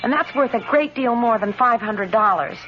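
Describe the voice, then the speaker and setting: An actress playing a hopeful, pleading young sister in a 1970s radio drama. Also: female voice, a little high, normal speed, natural voice. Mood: earnest hope. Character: pretty, pathetic, hopeful young sister seeking a cure. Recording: dull, noisy old radio broadcast.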